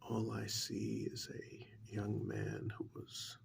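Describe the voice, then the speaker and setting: A man acts out a government agent quietly interrogating someone in an ASMR role-play. His soft half-whisper is full of heavy vocal fry and sits fairly low. He is calm and condescending, picking out each phrase very slowly with long pauses. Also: full character voice. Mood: coolly analytical.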